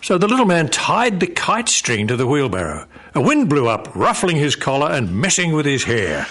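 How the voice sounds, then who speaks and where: A man's voice: a little high, wildly swooping pitch, normal speed, a bit bright. A man narrating a story as part of a live radio theatre performance. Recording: noisy live radio studio recording.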